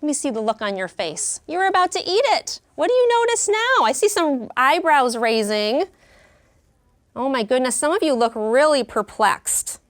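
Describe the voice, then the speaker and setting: A woman with a fairly high voice speaks as an elementary school teacher. She guides young children through a lesson expressively, prompting them with questions. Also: sing-song, normal speed. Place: classroom.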